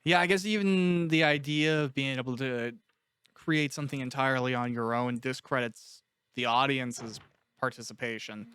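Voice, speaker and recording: A man's voice: medium pitch, normal speed, a bit bright, clear, resonant tone, no room sound. A man hosting a casual interview, musing informally as he puts questions and follows ideas.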